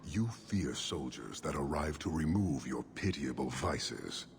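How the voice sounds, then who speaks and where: Very deep, gravelly horned giant commander. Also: male voice, lively intonation, exaggerated voice. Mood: stern, lecturing. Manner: measured, formal, disdainful pronouncements. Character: stern, contemptuous giant horned warrior commander. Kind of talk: video game cutscene acting.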